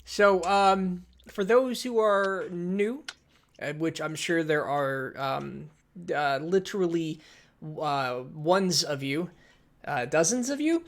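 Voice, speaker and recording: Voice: mid-range voice, expressive, slow, slight background noise. A dungeon master hosting a casual tabletop game stream, joking and self-deprecating, often stammering and restarting. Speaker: dungeon master and show host.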